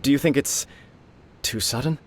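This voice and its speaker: Earnest young noble dude voiced by a man, mid-pitched, sincere and respectful, faint hiss behind. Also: moderate pace, close to an everyday voice. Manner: sincere, respectful, plain-spoken. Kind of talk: game dialogue voice acting.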